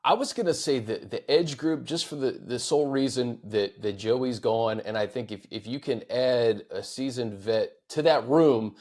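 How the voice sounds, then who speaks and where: A man's voice: medium pitch, normal speed, few pauses, a little mellow. A man hosting a sports show, talking casually and conversationally about football and handing over questions.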